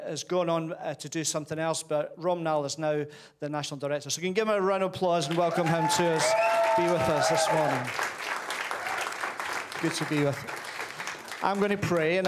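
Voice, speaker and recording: A man introducing a guest speaker and leading a church prayer in an earnest, slowing voice. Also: on the high side. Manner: earnest praying, growing slower and softer. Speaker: church leader.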